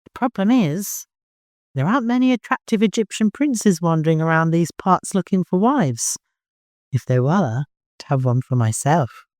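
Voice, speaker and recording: A wistful, worldly woman of an audiobook tale, as voiced by its narrator. Her pitch is lifted above plain narration, and she speaks earnestly, with feeling. The sound is dry and close. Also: expressive, moderate pace, darkish tone, dry recording, natural voice. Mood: wry. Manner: earnest, emotional.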